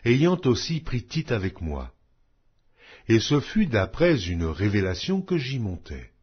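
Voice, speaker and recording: Solemn male Bible reader, husky, lively pitch. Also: muffled recording. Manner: measured, solemn reading with wide pitch swings. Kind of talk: scripted scripture reading.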